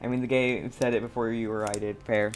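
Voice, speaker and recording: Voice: medium pitch, little pitch movement, a little fast, a little hiss or hum. A game streamer chatting casually on a live stream in a rambling, easily amused way. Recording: faint game music behind the voice. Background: video game music.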